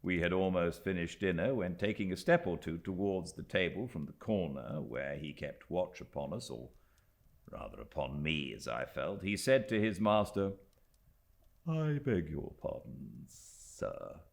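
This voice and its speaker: A British male audiobook narrator with a low, gravelly voice. He reads literary prose deliberately, swinging his pitch expressively and leaving dramatic pauses between phrases. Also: lively intonation. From Britain.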